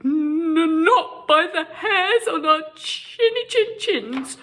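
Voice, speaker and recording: A woman's voice: extremely high pitch, animated, slow pace, a bit bright, voice pushed up, exaggerated voice. A woman voicing a giggly, easily frightened little male pig in a children's storybook, squealing and trembling. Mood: terrified, stammering. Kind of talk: children's storybook read-aloud.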